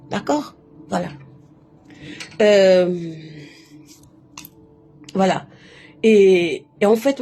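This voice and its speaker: Warm-voiced woman telling a story live. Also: fairly low pitch, creaky, slightly rough. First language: not French. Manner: emphatic, keeps repeating a filler between phrases. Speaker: live stream host. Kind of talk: casual live monologue, personal storytelling.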